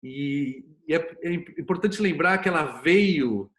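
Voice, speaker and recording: A man's voice: on the high side, expressive, a little slow, fairly bright tone. A Brazilian man talking as an interview guest, explaining things conversationally in a storytelling way with frequent tag questions. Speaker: interview guest, series producer and screenwriter.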